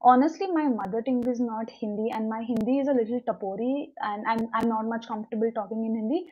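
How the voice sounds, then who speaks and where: A woman's voice: a little high, quick pace. A young woman from India, a Konkani native speaker with an Indian English accent, chatting casually and rambling on a motivational livestream while reading out viewer comments. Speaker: livestream host. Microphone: new mic, livestream. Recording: dull lo-fi livestream audio.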